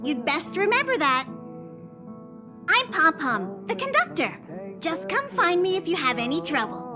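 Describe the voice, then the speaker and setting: Squeaky, bossy little creature conductor. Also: female voice, big pitch swings, unhurried, heavily acted. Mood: bossy, stern. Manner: scolding, refers to self in third person. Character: small bossy creature conductor of a space train. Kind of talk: video game voice acting. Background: background music.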